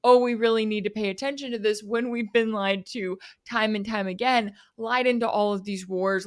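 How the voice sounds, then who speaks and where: Female host, American accent, medium-pitched voice. Conversational, articulate political interviewer.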